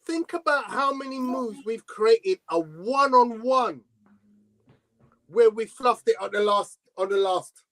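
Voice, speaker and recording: A man's voice: extremely high pitch, expressive, slow pace, a bit bright, poor audio. A man calling the play and pushing back in debate on a live football watchalong.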